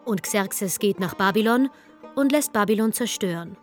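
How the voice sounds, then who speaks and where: A woman narrating a German radio drama as a scripted story, in a measured storytelling manner. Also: medium pitch, expressive, lightly acted. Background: music.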